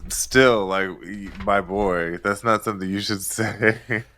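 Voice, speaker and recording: A man's voice: fairly low pitch, steady tone, takes it slowly, sharp tone, a little hiss or hum. A man co-hosting a casual recap chat, giving dry, wry commentary. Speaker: podcast co-host.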